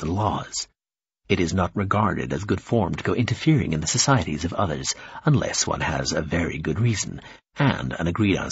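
Audiobook role: courteous male emissary, read by a man, lowered rough-edged voice, restrained, apologetic, dry sound. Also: no echo, dull audio, exaggerated voice. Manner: formal, gentle, restrained. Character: calm, courteous, regretful male emissary, formal and measured. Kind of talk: audiobook character dialogue.

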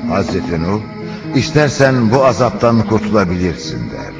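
A man's voice: lowish voice, very animated, normal speed. A man narrating a religious cartoon, relaying a prophet's words in a solemn, declamatory, preaching manner. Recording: muffled cartoon soundtrack with music.

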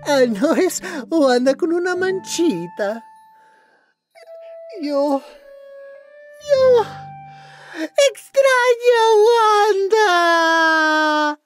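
A man's voice: very high voice, big pitch swings, drawn-out words, long pauses, a little mellow, faint music behind, voice pushed up, exaggerated voice. A man voicing a silly, dim-witted, childlike male fairy in a cartoon dub, goofy and exaggerated. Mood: breezy, then mopey.

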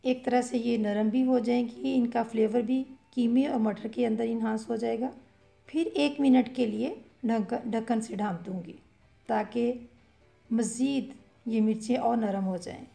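Gravelly-voiced woman explaining a recipe. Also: fairly high pitch, unhurried, faint music behind. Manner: calm step-by-step explaining with fillers. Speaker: cooking channel host. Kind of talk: voice-over cooking instruction.

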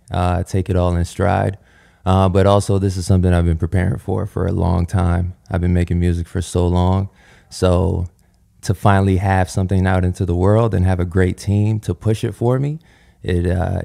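Deep gravelly-voiced young man, easygoing. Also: little pitch movement, moderate pace, warm tone. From Nigeria. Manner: thoughtful, easygoing, laughs often. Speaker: guest singer. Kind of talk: relaxed conversational interview.

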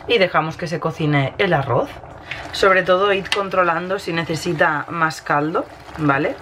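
A woman in her thirties with a Spain Spanish accent, slightly hoarse from a cold, casually narrating a recipe as she cooks in her home kitchen. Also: sits low. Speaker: home cooking vlogger. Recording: noisy kitchen with sizzling pan. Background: frying and stirring sounds.

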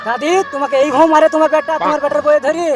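Man playing a high-pitched, quick-talking Bengali village grandson. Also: fairly even intonation. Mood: concerned. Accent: rural Bengali. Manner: questioning, cajoling. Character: inquisitive, coaxing village grandson chatting with granny. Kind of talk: amateur village comedy skit dialogue. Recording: loud music over the dialogue.

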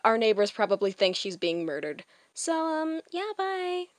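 A high-pitched woman talks casually as the host of a fan dub channel. Her manner is awkward and self-conscious, with informal wording, and the sound is close and dry. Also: big pitch swings, unhurried, no echo. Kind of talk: casual, chatty video intro and outro.

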